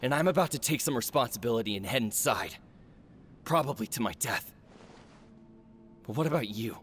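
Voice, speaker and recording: An actor playing an earnest, grave forge overseer in a video game, solemn, accusing and deliberate as he confronts a traitor. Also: medium pitch, expressive, fairly bright tone, lightly acted. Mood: stern, urgent. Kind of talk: dramatic video game voice acting. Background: background music.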